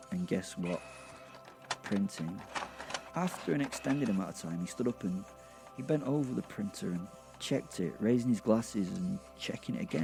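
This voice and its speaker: A man's voice: sits low, creaky, mellow. A man narrating a comic horror audio drama in the first person, with a wry, conversational manner.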